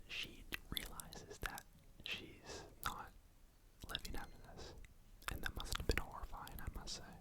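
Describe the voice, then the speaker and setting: An ASMR host whispering a true crime story to the microphone in a casual, rambling way, often saying "like" and "you know.". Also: low-quality recording. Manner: soft unvoiced whisper throughout.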